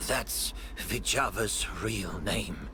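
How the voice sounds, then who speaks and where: A man's voice: fairly low pitch, lively intonation, very slow, noisy background. An actor playing a weary, conscience-stricken man in a video game, revealing an old secret with solemn deliberation over music. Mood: grave, resigned.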